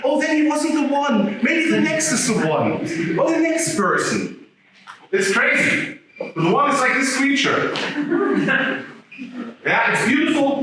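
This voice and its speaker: A man giving an animated live talk to an audience, turning to them with questions. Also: extremely high pitch, a little hiss or hum. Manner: animated, addresses the audience directly. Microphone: no microphone, picked up from afar. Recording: echoey room, voice from a distance.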